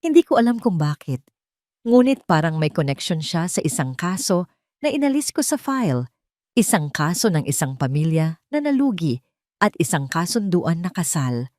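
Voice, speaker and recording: A woman voicing a gentle, protective man who speaks earnestly and reassuringly in an audio story. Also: lowish voice, very animated, moderate pace, dry recording, clean studio sound. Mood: guarded.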